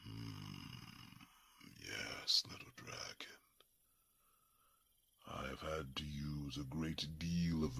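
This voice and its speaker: An ancient dragon, with an extremely low, breathy and gravelly voice. He speaks very slowly in tender, halting phrases, weary with old grief. It is a hushed fantasy ASMR roleplay with a sharp, intense edge to every whisper. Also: exaggerated voice. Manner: slow, deliberate, halting phrases with long pauses.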